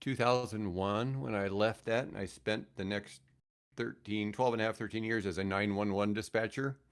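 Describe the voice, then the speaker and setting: A man in his sixties with a fairly low voice, chatting as a guest on a podcast. He tells stories casually, with self-mocking jokes and little acted-out exchanges. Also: fairly even intonation, normal speed, smooth. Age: 60s. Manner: jokey, self-mocking, acts out quoted dialogue. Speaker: podcast guest, retired radio host. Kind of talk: casual anecdotal storytelling.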